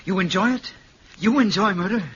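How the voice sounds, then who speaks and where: On a dull, faintly noisy vintage radio recording, an eager American amateur sleuth. His voice sits fairly high, keen and theatrical. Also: a little fast, a bit bright. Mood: fascinated. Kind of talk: old-time radio horror acting.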